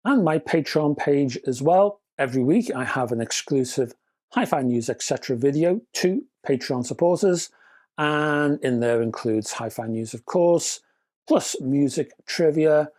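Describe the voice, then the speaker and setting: A man presenting a scripted product review, speaking in measured, deliberate phrases with chatty, whimsical turns. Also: a little high, darkish tone. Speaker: reviewer and presenter of an audio gear channel.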